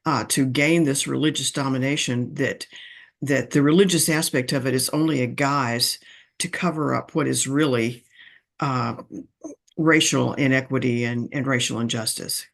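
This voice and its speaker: A man in an online discussion asking the speaker a long, careful question, with many pauses and fillers. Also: medium pitch, steady tone, vocal fry, fairly bright tone. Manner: slow, halting, many fillers. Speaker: audience member asking a question.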